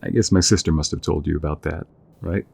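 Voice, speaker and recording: Man acting a deep, husky young soldier, affectionate. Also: steady tone, fairly quick, heavily acted. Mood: warm, reminiscing. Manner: gentle, affectionate, hesitant, chuckling conversational delivery. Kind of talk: intimate audio roleplay acting.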